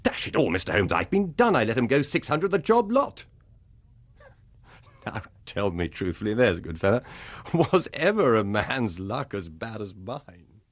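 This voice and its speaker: Haughty, swooping aristocratic English rogue. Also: male voice, lowish voice, big pitch swings, normal speed. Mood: indignant, rueful. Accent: upper-class English. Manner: haughty, urbane, theatrical. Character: suave, roguish aristocratic male scoundrel, haughty and brazen. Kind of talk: radio drama acting.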